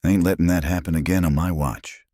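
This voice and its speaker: A man voicing a weathered, wry male marshal in a slow drawl with a gravelly edge. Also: deep voice, steady tone, mellow, dry recording, studio quality. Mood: steady.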